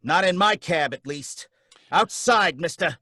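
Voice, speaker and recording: A man's voice: on the high side, expressive, measured pace, pushed and bright, no echo, studio quality, full character voice. An actor playing a wary, working-class male taxi driver who talks in folksy slang and grows suspicious of his passenger. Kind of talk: live radio drama reading.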